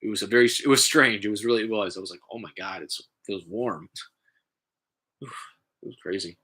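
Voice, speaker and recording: A man with a stuffy, congested nose, talking in a halting, casual way with many fillers and restarts, vlogging from home. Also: lowish voice, sharp tone, breathy edge. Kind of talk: casual rambling vlog.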